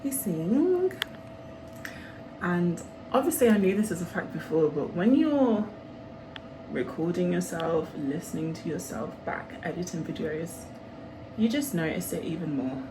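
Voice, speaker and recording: A woman's voice: lowish voice, very animated, a little slow. A young woman with a Birmingham accent and a slightly nasal voice, chatting casually to camera as a vlogger getting ready. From Birmingham, England. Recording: clearly noisy room background.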